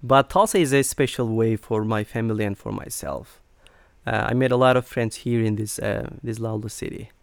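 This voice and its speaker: A middle-aged man from Turkey, speaking as an interview guest in a halting way with many fillers. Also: fairly low pitch, vocal fry, clean tone, no room sound, studio quality. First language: Turkish. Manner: hesitant, many fillers and restarts. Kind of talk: conversational interview.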